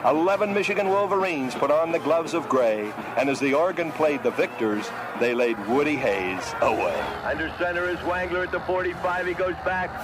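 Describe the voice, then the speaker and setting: Noisy archival American football broadcaster, higher voice. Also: male voice, expressive, moderate pace. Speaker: sports broadcaster. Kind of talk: dramatic football radio narration.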